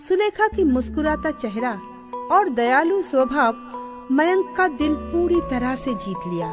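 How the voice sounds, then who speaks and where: A woman narrating a scripted moral story in an expressive storybook delivery. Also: high voice. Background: background music.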